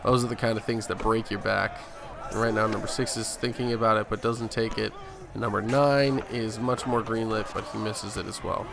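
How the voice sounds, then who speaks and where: A man's voice: fairly low pitch, steady tone, moderate pace. A man hosting a gaming video, giving casual, conversational play-by-play of a basketball game. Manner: conversational play-by-play in present tense. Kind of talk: casual gameplay commentary. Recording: clear game noise behind voice. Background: basketball game sounds.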